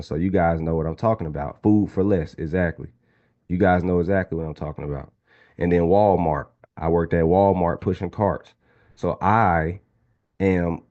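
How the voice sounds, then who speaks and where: A man's voice: sits low, dark tone, lo-fi sound. A man in his twenties from Atlanta, casually teaching a live online trading class and talking to the chat. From Atlanta, Georgia. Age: 20s. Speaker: trading instructor.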